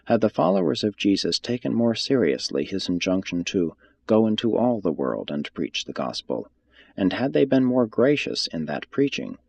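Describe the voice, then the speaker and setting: Low, slightly husky male audiobook narrator. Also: very animated, normal speed, a little mellow. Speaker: reader of a religious text.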